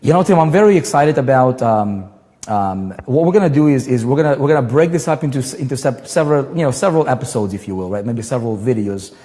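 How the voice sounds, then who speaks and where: Excited, rambling male host. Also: mid-range voice, fast, in a large hall. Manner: excited, rambling, with many fillers and restarts. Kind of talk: livestream chat and interview intro.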